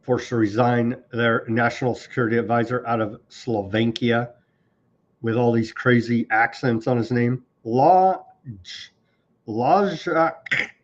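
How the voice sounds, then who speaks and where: Man, online news host, sarcastic, ranting, middle pitch, muffled lo-fi audio. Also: slow. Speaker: political commentary host. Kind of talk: live online news commentary.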